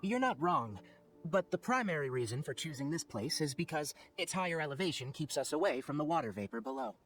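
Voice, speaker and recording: Young male game ranger, lightly high pitch, animated intonation, articulate, knowledgeable, wry, brisk. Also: soft background music. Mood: brisk, instructive. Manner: articulate, explanatory, matter-of-fact. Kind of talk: video game character acting.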